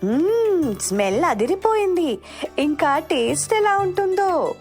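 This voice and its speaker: Very high-pitched little schoolgirl. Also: unhurried, exaggerated voice. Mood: excited. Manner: childish, expressive. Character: sweet, eager little schoolgirl from a poor family. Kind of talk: children's moral story dialogue. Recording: music behind the voice.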